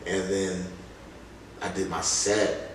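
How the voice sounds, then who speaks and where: A man's voice: low-pitched, steady tone, takes it slowly. A man telling stories casually in an interview as a rap artist, with informal slangy phrasing and quoted asides. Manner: informal slang, often quoting others and punctuating with "like" and "you know". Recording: very echoey room, distant mic, loud noise.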